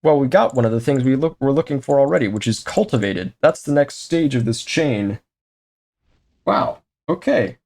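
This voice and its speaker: A man talking his way through a game session in a loose, conversational way, speaking partly to himself and partly to the game. His voice sits in an ordinary middle pitch, and he breaks into quick excited exclamations and wry jokes. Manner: chatty, talks to himself and to the game, jokey asides. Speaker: let's play host. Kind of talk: casual gameplay commentary.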